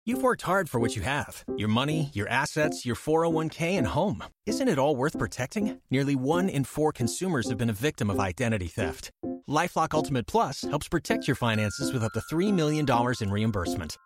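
Mid-pitched male commercial announcer. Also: sing-song, brisk. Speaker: advertisement announcer. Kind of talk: scripted commercial read. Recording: voice over music.

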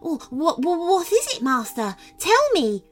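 A woman's voice: very high-pitched, big pitch swings, measured pace, voice pushed up, full character voice. A woman voicing a feeble, eager male zombie assistant who stammers and fawns in a children's storybook tale. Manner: stammering, servile, pleading. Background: music.

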